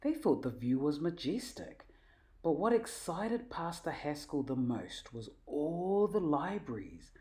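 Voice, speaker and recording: A woman reading a children's story aloud in an animated, emphatic, expressive way. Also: low voice, measured pace. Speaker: storybook reader.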